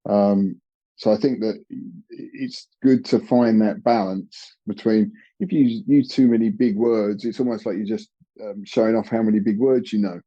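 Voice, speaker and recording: Low, mellow-voiced encouraging man. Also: fairly even intonation, highs cut off. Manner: encouraging, measured, with fillers. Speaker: karate instructor guest. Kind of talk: candid casual conversation.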